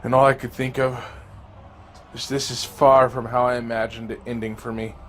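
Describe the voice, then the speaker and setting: A man reading a scripted first-person horror story as an audio drama narrator, grave and dramatic with deliberate phrasing. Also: medium pitch, little pitch movement, a little hiss or hum. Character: male survivor recounting a zombie fungus apocalypse. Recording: amateur narration over music. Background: music.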